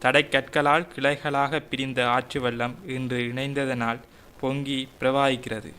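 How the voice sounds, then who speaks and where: An actor playing a playful young nephew in a radio drama, speaking in a light, teasing way. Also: male voice, medium pitch, little pitch movement, measured pace, bright, forceful tone.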